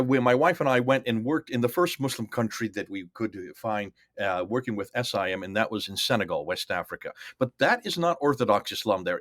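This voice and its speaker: A man in his sixties from India speaks as a missionary guest, emphatic and lecturing. He stresses figures and repeats them for effect. His voice is mid-pitched, and the sound is dry and close. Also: fairly bright tone, no echo. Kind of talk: testimony in an interview.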